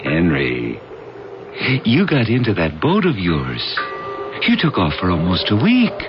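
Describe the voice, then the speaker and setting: Wry, very animated buddy. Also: male voice, low voice, full character voice. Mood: frank, concerned. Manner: jocular, drawn-out, animated delivery. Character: wry, teasing, easygoing male buddy. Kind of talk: 1980s radio drama acting. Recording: dull old radio recording with music.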